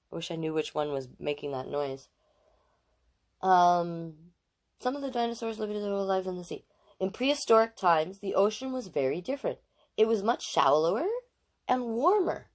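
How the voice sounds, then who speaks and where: A woman in her late forties hosting storytime, reading aloud to children with expressive emphasis and chatty asides. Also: mid-range voice. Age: late 40s.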